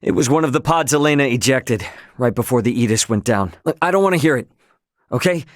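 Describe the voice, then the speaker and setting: A man's voice: medium pitch, expressive, a little fast, dry recording, clean studio sound, close to an everyday voice. A male actor playing a young, brash, easygoing but stubborn spaceship captain in a video game, with a casual, slangy, wry manner. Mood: firm, stubborn. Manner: casual slangy, wry, loosely drawled asides. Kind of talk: English video game voice acting.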